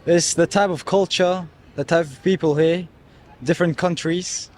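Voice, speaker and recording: A man from Kuwait with an Arabic accent, answering a street interview in short, halting phrases. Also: fairly high pitch, steady tone, a little mellow. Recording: outdoor street with faint traffic.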